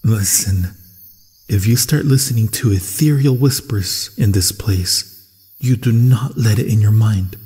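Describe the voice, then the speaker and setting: Man playing a husky, slow-spoken, grieving monster hunter. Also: lowish voice, dark tone. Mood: reassuring, watchful. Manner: solemn, dramatic, halting delivery with long pauses. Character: grieving, vengeful male monster hunter protecting a stranger. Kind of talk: ASMR fantasy horror roleplay acting. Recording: long cavernous reverb, insect sounds.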